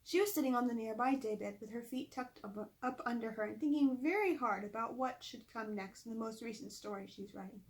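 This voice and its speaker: Expressive higher-voiced woman reading a storybook. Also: brisk, hardly any pauses. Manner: expressive, chatty asides to listeners. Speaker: author reading own book aloud. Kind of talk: storybook read-aloud narration.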